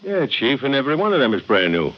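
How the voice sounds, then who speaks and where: An American actor playing a deferential, plain-spoken police sergeant sidekick in an old-time radio detective drama, puzzled and folksy. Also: male voice, mid-range voice, sing-song, fast talker, natural voice. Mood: puzzled, obliging. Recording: dull, noisy vintage radio recording.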